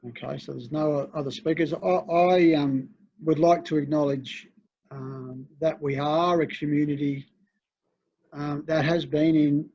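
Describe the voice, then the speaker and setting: Flat-voiced man chairing a meeting. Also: a little high, a little slow, husky, dry recording. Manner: hesitant, many fillers, reflective. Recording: dry, dull lo-fi meeting audio.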